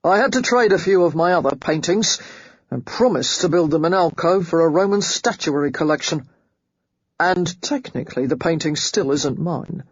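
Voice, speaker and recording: A woman reading the part of a brash, self-made rich man who speaks bluntly and forcefully in short, clipped lines. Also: fairly low pitch, animated, moderate pace, breathy edge, dry recording, dull audio.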